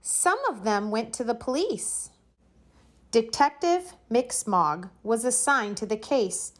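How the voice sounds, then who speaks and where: Slow-paced woman reading a children's story. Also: a little high, animated. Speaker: storybook reader. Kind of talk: children's story read aloud.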